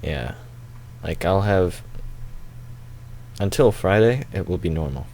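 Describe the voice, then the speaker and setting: A man's voice: deep voice, animated, takes it slowly, vocal fry, darkish tone, a little hiss or hum. A young man hosting a gaming video with casual, informal, rambling commentary. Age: young adult. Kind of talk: casual let's play commentary.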